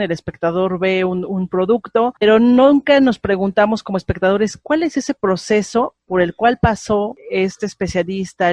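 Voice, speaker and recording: A woman's voice: medium pitch, no room sound. A woman with a Mexican accent hosting a radio interview, asking long, listed questions in an articulate way. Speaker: radio show host.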